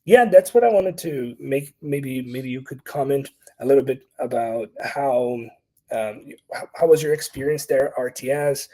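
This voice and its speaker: A man's voice: mid-range voice, moderate pace, mellow. A man hosting a podcast interview, friendly and affirming, asking follow-up questions. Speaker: podcast host. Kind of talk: conversational interview.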